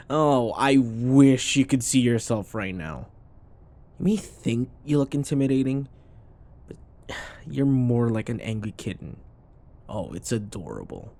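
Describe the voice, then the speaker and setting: A man's voice: mid-range voice, big pitch swings, takes it slowly, pauses often, warm tone. A man playing a sarcastic, irritable office rival who taunts and scoffs, in a scripted boyfriend audio roleplay. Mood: smug teasing. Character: snarky, irritable male office rival, teasing and combative.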